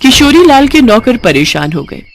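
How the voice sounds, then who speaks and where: A woman's voice: fairly high pitch, sing-song, moderate pace, gravelly. A woman reading a scripted bedtime moral story as its narrator.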